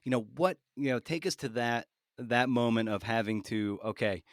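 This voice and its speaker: A man hosting a casual interview, feeling his way haltingly through his questions. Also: mid-range voice, dry recording, studio quality. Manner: halting, searching for wording. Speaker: podcast host, interviewer.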